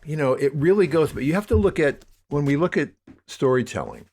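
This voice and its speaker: An older American man talking reflectively as an interview guest, in a halting, rambling way that circles back on his phrases. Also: on the high side, sing-song, darkish tone. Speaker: interview guest, media producer.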